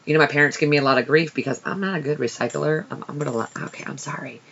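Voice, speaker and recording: A woman with a deep, raspy voice talks in a loose, rambling way, circling back on herself with fillers and self-mocking asides. Her tone is forceful and bright in spite of the rasp, and the podcast recording sounds dull and lo-fi. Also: racing. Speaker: podcast co-host. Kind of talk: casual chat.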